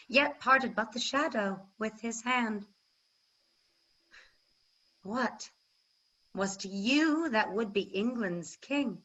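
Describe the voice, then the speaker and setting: A woman's voice: medium pitch, sharp tone, full character voice. A woman playing a cruel, vengeful warrior queen in a staged reading of Shakespearean verse, mocking and declamatory. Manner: taunting, declamatory, deliberate. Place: online video call. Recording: muffled video-call audio.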